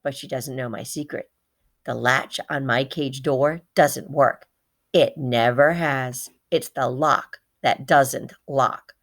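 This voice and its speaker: A woman's voice: very deep voice, steady tone, slow, fairly bright tone, exaggerated voice. A woman voicing a small, proud, indignant hamster who tells its storybook tale dramatically. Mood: defiant. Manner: dramatic, emphatic.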